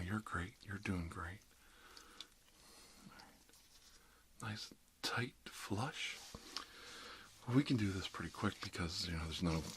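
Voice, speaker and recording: A man doing an ASMR roleplay as a dentist, rambling casually and wryly while reassuring his patient. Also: on the low side. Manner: wry, conversational, reassuring patter to a patient. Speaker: ASMR performer playing a dentist.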